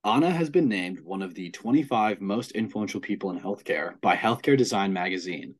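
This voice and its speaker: Young man host, low voice. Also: expressive, fairly quick, husky. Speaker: student podcast co-host. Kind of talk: scripted intro, casual chat.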